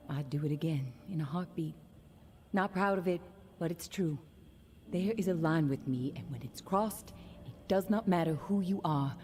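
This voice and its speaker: Low-voiced, defiant survivor mother. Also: warm tone. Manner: blunt, confiding, deliberate. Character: defiant, hardened survivor mother, fiercely protective, confessing. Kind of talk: dramatic video game monologue, recorded message.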